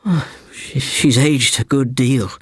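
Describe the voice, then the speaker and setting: A man's voice: mid-range voice, slow, slightly rough, no room sound, voice pushed up. A man playing a nervous, evasive young man who speaks awkwardly in hesitant, broken sentences.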